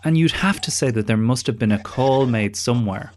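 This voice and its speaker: A man's voice: medium pitch, a little fast, darkish tone. A man hosting a podcast interview in a wry, discursive, conversational way, with some casual swearing. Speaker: podcast host.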